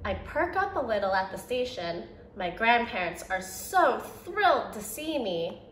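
A woman's voice: on the high side, big pitch swings, unhurried, fairly bright tone, roomy sound, slight background noise, poor audio. A young woman who teaches preschool, reading a picture book aloud to children and voicing the quoted lines with expression. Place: indoor room by a brick fireplace.

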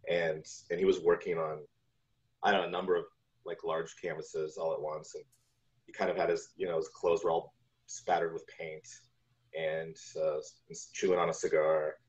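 A man's voice: a bass voice, level tone, normal speed. A man in his forties chatting casually as an interview guest, telling stories from his work. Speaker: guest, video game composer. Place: home room on a video call. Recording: dull lo-fi video call audio.

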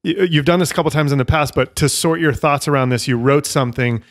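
A man co-hosting a work podcast, upbeat and laughing easily as he pitches a new book. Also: fast, no room sound, studio quality. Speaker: podcast co-host. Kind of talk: casual podcast chat.

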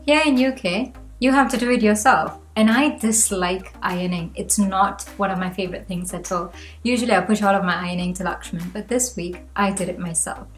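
A young woman raised in Malaysia sits in a room at home and vlogs to the camera in a mid-range voice. Her talk is earnest and chatty, with lively lifts in pitch. Faint music plays underneath. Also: fairly quick. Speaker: vlogger. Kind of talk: talking to camera, personal reflection. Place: home room with bookshelves, indoors.

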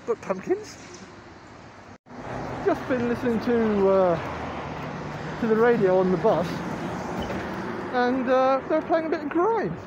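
A man's voice: extremely high pitch, sing-song, a little slow, pauses often, highs cut off, noisy background. A middle-aged English man narrating a casual, rambling vlog into his phone in an informal, wry way. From England. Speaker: vlogger. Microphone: phone at arm's length.

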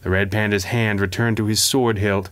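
A man reading a pulp adventure story as a dramatic, theatrical and expressive narrator. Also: on the low side, normal speed. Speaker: audiobook narrator.

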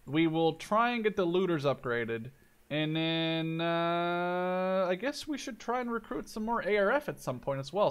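A young man in his twenties with a slightly high voice hosts a gaming video. He talks casually and thinks aloud, with an excitable manner. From Canada or the Netherlands. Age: 20s. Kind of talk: casual let's play commentary.

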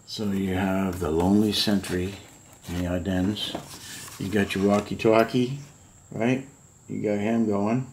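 A man's voice: lowish voice, fairly even intonation, unhurried, a little mellow, a little hiss or hum. A middle-aged man casually showing old books to camera at home, musing aloud with lots of fillers. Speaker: model kit collector showing books. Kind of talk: casual show-and-tell vlog. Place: home room full of model kit boxes.

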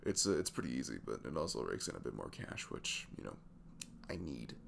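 Man acting as deep gravelly young single dad. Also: big pitch swings, a bit bright, slight background noise, heavily acted. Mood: candid, slightly sheepish. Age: 20s. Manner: halting, chuckling, conversational. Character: sweet, easygoing single dad in his twenties. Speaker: audio roleplay voice actor. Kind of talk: intimate ASMR roleplay, casual chat.

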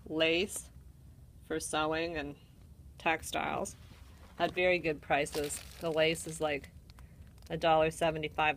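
A woman with a Canadian accent chats her way through an antique mall, naming items and prices. Her voice sits low and she takes her time. It is recorded on a handheld phone, with faint hiss and shop ambience behind her. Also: a bit bright. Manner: chatty, listing items and prices. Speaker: shopper filming an antique mall booth. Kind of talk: casual walk-through narration. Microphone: handheld phone camera.

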